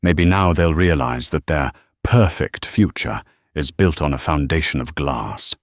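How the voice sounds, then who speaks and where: A man playing a gruff, tough human starship captain who roars commands. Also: a bass voice, unhurried, no room sound, studio quality. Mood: satisfied.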